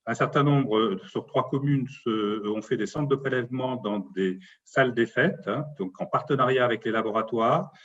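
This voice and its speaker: Husky man explaining, dull recording. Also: medium pitch, moderate pace, hardly any pauses. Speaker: doctor and local elected official. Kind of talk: explanatory interview answers.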